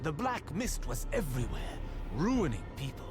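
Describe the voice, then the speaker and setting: Boastful young rogue storyteller for a game cinematic: highish, hugely animated, playful, over music. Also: male voice, normal speed. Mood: dramatic storytelling. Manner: boastful, playful, self-regarding. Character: cocky, theatrical young male rogue hero storyteller.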